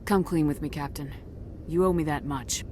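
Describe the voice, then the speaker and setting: Low-pitched, resolute female commander played by a woman. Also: moderate pace, dark tone, a little hiss or hum, natural voice. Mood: determined, pressing. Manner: direct, firm, controlled. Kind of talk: dramatic video game dialogue.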